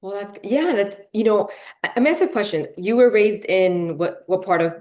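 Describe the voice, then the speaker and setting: A woman's voice: medium pitch, lively intonation, moderate pace, darkish tone. A woman in her forties, born in Peru and raised in Miami, hosting a casual interview and talking in a chatty way full of fillers. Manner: chatty, lots of fillers, frequent "right?" and "you know". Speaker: podcast host.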